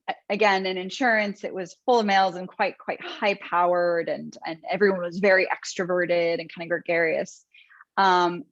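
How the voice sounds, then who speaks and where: A woman interview guest talking thoughtfully, with many pauses, ums and restarts. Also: medium pitch, dry recording. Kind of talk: conversational interview. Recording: dry, muffled lo-fi sound.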